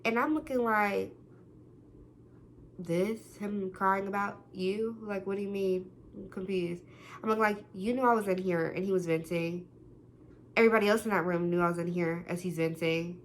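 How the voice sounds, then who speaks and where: Brief: young woman, naturally loud, animated storyteller, acts out quotes, light background hiss. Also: medium pitch, normal speed. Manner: loud, animated, quotes people in different voices. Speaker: vlogger telling a story. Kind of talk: casual storytime monologue.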